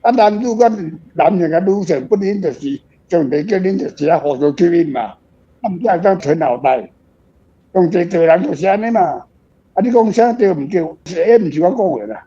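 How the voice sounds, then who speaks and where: An older man with a Taiwanese accent speaks in a husky, fairly high voice with a mellow tone. He rambles frankly and pokes fun at himself, like a writer chatting about his work. The recording is slightly dull. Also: lively intonation, normal speed. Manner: rambling, frank, self-deprecating. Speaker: author, guest on a radio show. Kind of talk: casual reflective book talk.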